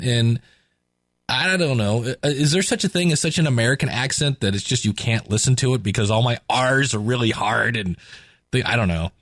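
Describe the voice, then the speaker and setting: A man's voice: medium pitch, fairly bright tone, no echo, studio quality. A man hosting a podcast, who breaks in to talk to his listeners in a chatty, enthusiastic way. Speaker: podcast host. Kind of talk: casual podcast commentary.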